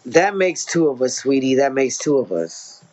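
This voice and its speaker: A man's voice: medium pitch, very animated, moderate pace, darkish tone, lightly acted. A man voicing a compassionate, jolly, motherly woman social worker in a read-aloud story, speaking in soothing endearments. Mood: moved, upset. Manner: soothing endearments, tender phrasing.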